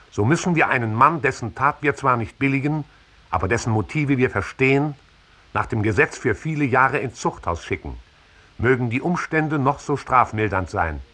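An actor playing a formal, emphatic reader of a newspaper editorial in a 1960s German radio drama. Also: male voice, mid-range voice, bright, forceful tone. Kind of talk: scripted announcer reading, 1960s radio drama.

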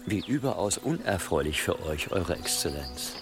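An actor playing an archbishop's deferential, obliging deputy in a German radio drama, with a formal, servile manner. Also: male voice, sits low, big pitch swings, moderate pace. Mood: servile, careful. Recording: music behind the voice.

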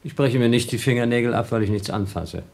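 A man's voice: mid-range voice, fast talker, vocal fry, a little mellow, a little hiss or hum, lightly acted. A man playing a restless, cynical astronaut in a radio drama, with a sardonic, needling, conversational manner. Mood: restless, evasive. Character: restless, cynical male astronaut, slyly persuasive.

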